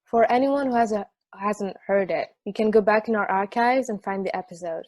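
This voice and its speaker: A woman's voice: mid-range voice, normal speed, darkish tone, no echo, close to an everyday voice. A woman playing a jaded, sardonic sports podcast host in a casual table read. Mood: casual explaining.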